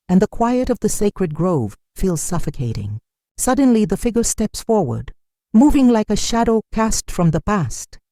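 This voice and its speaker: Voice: medium pitch, dark tone, no echo, studio quality. A storyteller giving a dramatic scripted folktale narration in a solemn, suspenseful manner.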